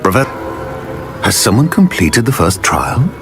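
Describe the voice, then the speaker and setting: Old wizard guardian, fantasy game: formal, authoritative, hugely varied intonation, faint score behind. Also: male voice, fairly low pitch, wildly swooping pitch, a little slow, vocal fry, exaggerated voice. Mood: surprised, stately. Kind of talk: dramatic video game dialogue. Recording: voice with faint music.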